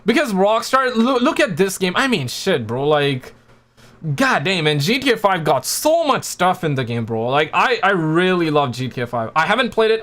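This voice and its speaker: A man's voice: high-pitched, a bit bright. A man in his twenties chatting casually on a live stream, slangy and stammering, often repeating words and swearing offhand. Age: 20s. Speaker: streamer reacting to gaming news.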